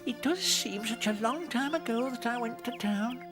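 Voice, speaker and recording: High, giggly country mouse. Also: male voice, moderate pace, over music. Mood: cheerful, reassuring. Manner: excitable, giggly, repeats himself. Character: cheerful, homely little male country mouse, eager host. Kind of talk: animated storybook cartoon acting.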